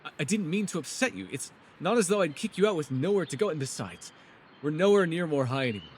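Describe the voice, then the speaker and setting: A man playing a reclusive, centuries-old elf in a fantasy audio roleplay, guarded and awkward, with an earnest, halting delivery. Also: a little high, very animated, slight background noise. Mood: concerned, confused.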